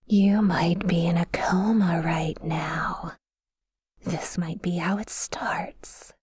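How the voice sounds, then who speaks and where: Woman as a slow, whispering, possessive demoness. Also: on the low side, no echo, clean studio sound, full character voice. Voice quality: breathy, hushed whisper. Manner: slow, taunting, intimate. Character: possessive, menacing, teasing female yandere sleep paralysis demon. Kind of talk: ASMR roleplay.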